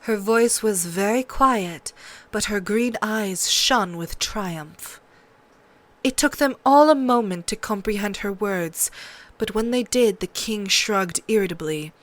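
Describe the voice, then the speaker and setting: A woman narrates an audiobook at a slow, measured pace. Her delivery is scripted, dramatic storytelling in a medium-pitched voice.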